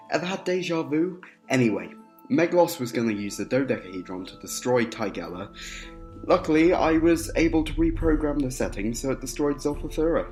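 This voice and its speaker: A man playing a distracted, wry, brooding time traveller in an audio drama, speaking haltingly. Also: mid-range voice, darkish tone, lightly acted. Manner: halting, hesitant, full of uhs.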